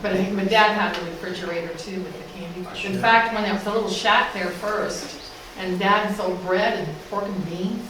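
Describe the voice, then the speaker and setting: A woman's voice: mid-range voice, steady tone, normal speed, hardly any pauses. An older woman in an audience casually sharing memories. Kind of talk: casual reminiscing. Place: small meeting hall, indoors. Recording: echoey, noisy, distant mic.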